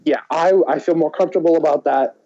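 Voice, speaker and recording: Dull sounding recording, guy rambling casually, kinda mellow voice. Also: on the high side, steady tone, quick pace. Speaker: podcast co-host. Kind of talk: casual chat. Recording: dull lo-fi audio.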